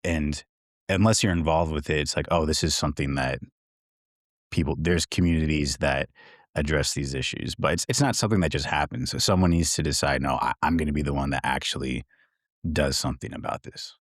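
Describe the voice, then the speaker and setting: Very deep-voiced male co-host. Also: brisk, no echo, studio quality. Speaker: podcast co-host. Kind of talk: conversational podcast talk.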